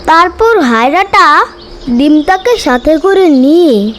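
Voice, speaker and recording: High-pitched, animated woman storyteller. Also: takes it slowly, slight background noise. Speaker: cartoon narrator. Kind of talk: scripted children's story narration. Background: music.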